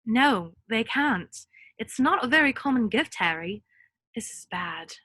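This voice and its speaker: A woman's voice: on the high side, expressive, a little slow, bright, forceful tone, dry recording, heavily acted. A woman reading a serious, knowledgeable schoolgirl witch in a script read, explaining things earnestly. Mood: grave concern. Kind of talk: online script table read.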